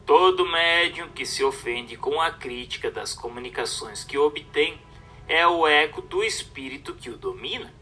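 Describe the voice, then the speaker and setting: A man reading a scripted text aloud as a narrator, in a formal, didactic and expressive manner. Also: on the high side, a little slow, far from the mic, soft background music.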